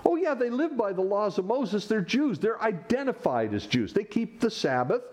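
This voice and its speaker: Animated male preacher with lively pitch. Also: moderate pace. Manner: animated preaching, rhetorical questions, sudden pitch swings. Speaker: pastor. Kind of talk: sermon.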